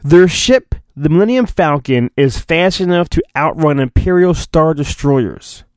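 An animated, higher-voiced man does a mock-dramatic storybook reading for his podcast. He swings between theatrical narration and rambling, sarcastic asides, his pitch moving a lot. The recording is close and dry. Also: measured pace, no room sound. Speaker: podcast host.

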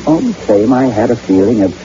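Man as an anxious young hunter, dark-toned. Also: mid-range voice, expressive, brisk, close to an everyday voice. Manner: hesitant, earnest, troubled. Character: anxious, impressionable young man on a hunting trip. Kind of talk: old-time radio drama acting with first-person narration. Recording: muffled, hissy vintage radio drama recording.

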